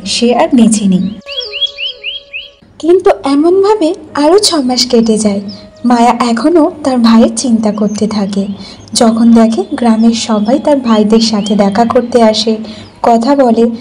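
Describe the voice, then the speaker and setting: A woman narrates a cartoon story from a script. Her voice is high-pitched with a soft, mellow tone, and music plays underneath.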